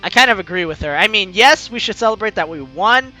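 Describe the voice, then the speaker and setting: Bright, chatty young male gamer. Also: sits high, animated, fast, faint music behind. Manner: chatty, reads lines with asides. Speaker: gameplay host reading the story aloud. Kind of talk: let's play narration and commentary.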